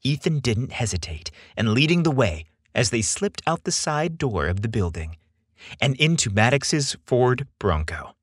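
A man narrating a scripted romance story as a podcast host, in an expressive storytelling style. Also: medium pitch, a little slow, husky, dry recording, studio quality.

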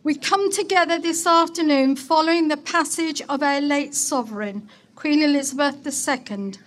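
A woman speaking as a mayor at an outdoor ceremony, reading a formal public address in a solemn, deliberate, declamatory manner. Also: sits high. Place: outdoor market place ceremony.

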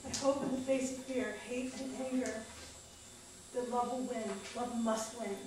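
Higher-voiced woman reading in echoey theater. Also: fairly even intonation, moderate pace. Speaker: performer at a live stage reading. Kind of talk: reading a personal monologue aloud. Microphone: distant camera microphone. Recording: echoey noisy theater, far-off camera.

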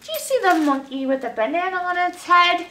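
A middle-aged American woman leading a children's story time, expressive as she talks to young listeners. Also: extremely high pitch, a little fast, a little hiss or hum. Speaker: librarian storyteller. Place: small library room, indoors. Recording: roomy, picked up from a distance.

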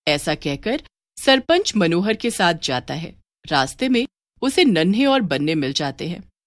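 Animated, low-voiced woman storyteller. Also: unhurried, dry recording, clean studio sound. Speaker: narrator. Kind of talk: scripted story narration.

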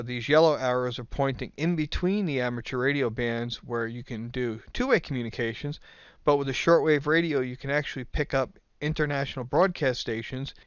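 A man's voice: medium pitch, a bit bright, clear, resonant tone, no echo. A man narrating an instructional video in a plain-spoken, methodical, informal way. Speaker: host of an instructional video channel. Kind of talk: explanatory slide narration, informal.

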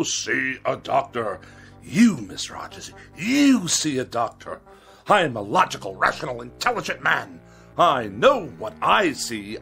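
An actor playing a haughty, paranoid middle-aged writer in a radio drama, with florid, sarcastic speech. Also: male voice, on the high side, creaky, pushed and bright, full character voice. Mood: scornful ranting. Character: pompous, paranoid, irascible middle-aged male writer who hates machines. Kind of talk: theatrical radio drama acting. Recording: studio radio drama with music behind.

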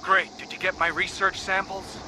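An actor playing a grumpy, sardonic starship doctor in a sci-fi game, gruff and wry. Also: male voice, level tone, normal speed, close to an everyday voice. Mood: irritated, sardonic. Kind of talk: scripted video game dialogue. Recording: music and engine rumble behind.

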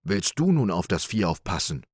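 Man as an old cowherd, storybook, meek, plaintive, low voice, dry. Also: very animated, normal speed, husky, no room sound, exaggerated voice.

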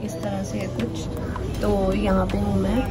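A woman's voice: mid-range voice, steady tone, a little slow, loud music behind the voice. A woman in her thirties chatting to a phone camera in a small cafe, eagerly praising her food. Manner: chatty, enthusiastic, repeats praise while tasting. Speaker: food vlogger. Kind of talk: casual food vlog to camera. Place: small indoor cafe by a window. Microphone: phone held at arm's length.

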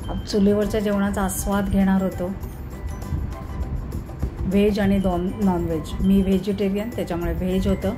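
A woman's voice: mid-range voice, little pitch movement, moderate pace, long pauses. A woman narrating a casual vlog tour of a farm, eagerly pointing things out to viewers. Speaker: travel vlogger. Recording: music playing underneath.

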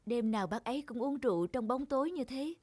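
Woman voicing an earnest young male student, fairly high. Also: expressive, fast, loud music behind the voice, natural voice. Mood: pensive. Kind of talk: animated moral tale dubbing.

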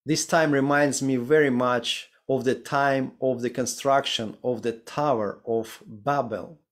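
A man in his thirties with a Ukrainian accent, preaching a sermon and reading scripture slowly and deliberately over a video call from a home study. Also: medium pitch, steady tone. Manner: slow, deliberate reading with careful pauses. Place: home study with bookshelves, indoors. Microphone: video call webcam.

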